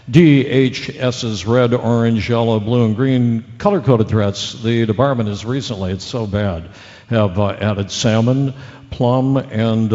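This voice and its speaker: Guy acting chatty bumbling local news radio man, medium pitch, halting, kinda muffled. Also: dark tone, in a large hall, natural voice. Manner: halting, rambling, folksy delivery with awkward asides. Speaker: radio news and weather reader.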